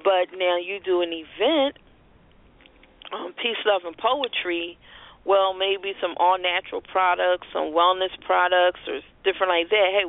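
A woman co-hosting a radio show, giving unscripted business advice in a conversational, explanatory way over the phone. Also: fairly low pitch. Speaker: radio show co-host and marketing strategist. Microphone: telephone. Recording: tinny phone-line call-in audio.

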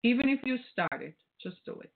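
A woman's voice: on the high side, sing-song, normal speed, vocal fry. Caribbean-accented woman podcaster over a phone line. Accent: British Caribbean. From British Caribbean. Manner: preachy, rhetorical, tags points with "right?". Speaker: podcast host. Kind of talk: casual solo podcast commentary. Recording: phone-like, narrow band.

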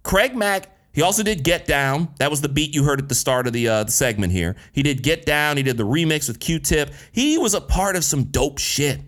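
A man's voice: fairly high pitch, animated, moderate pace, hardly any pauses, a bit bright. A man in his thirties from Detroit, hosting a solo podcast in a casual, candid way, with slang and cursing. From Detroit, USA. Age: 30s. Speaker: podcast host.

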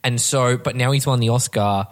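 A male podcast host with a medium-pitched voice chats informally and with plenty of enthusiasm. His talk is loose and conversational, peppered with casual swearing. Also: steady tone, normal speed. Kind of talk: casual film and game chat.

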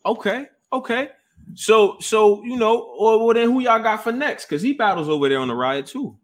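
A man hosting a casual livestream chat, animated and quick to interject and prompt his guests. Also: high voice. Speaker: livestream host.

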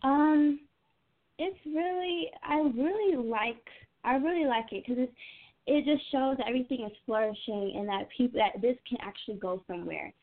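A woman's voice: high-pitched, moderate pace. Young woman on a tinny phone line. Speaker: singer being interviewed as guest. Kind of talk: casual, conversational interview answers.

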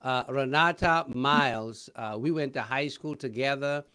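A man's voice: mid-range voice, measured pace, sharp tone, clear, resonant tone. Joking male panel host. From Los Angeles. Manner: joking, many fillers. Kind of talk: casual panel hosting.

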